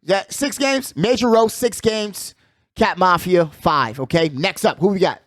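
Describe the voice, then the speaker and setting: A man hosting a casual sports talk show, giving animated, slangy commentary and repeating phrases for emphasis. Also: sits high, unhurried, dry recording, clean studio sound. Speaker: sports podcast host.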